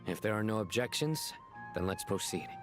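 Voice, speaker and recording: A man's voice: fairly low pitch, lively intonation, normal speed, over music, lightly acted. A man voicing a solemn, poetic and earnest leader in a dramatic video game. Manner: ceremonious, earnest.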